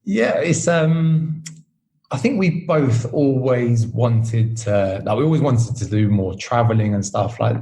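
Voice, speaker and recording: A man's voice: medium pitch, unhurried, creaky. A man from the UK with a British accent, talking casually and rambling a bit as an interview guest. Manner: relaxed, rambling, many fillers. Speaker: podcast host, interview guest. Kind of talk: casual chat.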